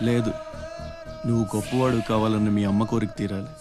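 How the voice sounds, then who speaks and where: An actor playing a commanding gang boss with a conscience in a Telugu film drama. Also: male voice, fairly low pitch, little pitch movement, quick pace, music in the background, natural voice. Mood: grave, remorseful. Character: commanding, remorseful gang boss, a grown man.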